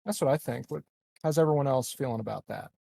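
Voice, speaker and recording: A man's voice: medium pitch, quick pace, gravelly, dark tone, slightly rough, dry recording. A man playing a tabletop roleplaying game, chatting casually and reasoning out choices in a tentative way. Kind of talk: casual chat.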